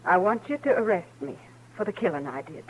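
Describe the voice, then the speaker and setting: An actress with a rural American accent playing a weary, dignified frontier mother in a radio western, earnest, folksy and a little halting. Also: lowish voice, expressive, muffled recording, lightly acted. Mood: solemn, resolute. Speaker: radio actor. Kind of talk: old-time radio western acting. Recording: noisy vintage radio recording with hum.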